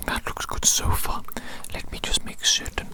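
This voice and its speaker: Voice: normal speed, lightly acted. An ASMR performer voicing an eccentric, doting evil doctor in a medical roleplay, whispering with formal, old-fashioned wording and repeated phrases. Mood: doting, eager.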